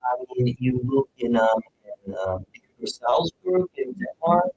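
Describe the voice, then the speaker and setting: A man's voice: medium pitch, wildly swooping pitch, a little slow, gravelly, a little mellow, highs cut off. A man from Puerto Rico, a jazz guitarist chatting as a guest in an interview, easygoing and rambling. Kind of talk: casual interview chat.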